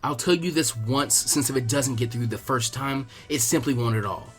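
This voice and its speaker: Man doing a cool, arrogant boy prodigy, measured. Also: mid-range voice, faint music behind, close to an everyday voice. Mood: contemptuous. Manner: condescending, measured, deliberate. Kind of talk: dramatic audiobook character acting.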